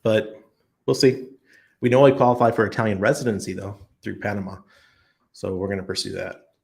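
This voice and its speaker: Gravelly low-voiced man hosting a chat stream. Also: flat delivery, fairly quick, a little mellow. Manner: rambling, thinks aloud with many ums and restarts. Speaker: livestream host answering chat. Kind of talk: casual chat. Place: expat living abroad.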